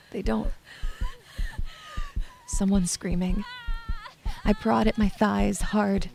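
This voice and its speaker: A woman playing a lonely, shaken young woman in hospital, narrating her own story in a soft, breathy, hushed and dramatic way. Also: medium pitch, low-quality recording. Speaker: narrator and lead character. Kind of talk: first-person audio drama narration.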